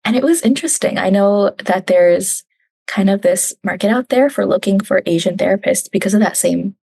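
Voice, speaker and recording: A woman's voice: medium pitch, normal speed, creaky. Chatty young Canadian woman. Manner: chatty, laughs often, quotes family in animated voices. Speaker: digital specialist, guest. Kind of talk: casual conversational interview.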